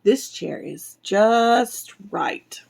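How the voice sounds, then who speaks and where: A woman voicing a fussy, curious little girl from a storybook, with drawn-out words. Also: on the high side, animated, creaky, a little mellow, heavily acted. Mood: pleased.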